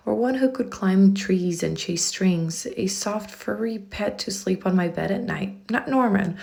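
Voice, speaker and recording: A woman's voice: mid-range voice, creaky, darkish tone. A woman reading a children's story aloud expressively, drawing out key words for emphasis. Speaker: children's book reader.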